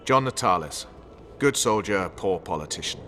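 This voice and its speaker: A man's voice: low voice, animated, normal speed, sharp tone, a little hiss or hum. A male actor playing a hard, vengeful special forces commander in a video game, speaking in a stern, blunt, resolute manner. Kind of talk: dramatic video game acting.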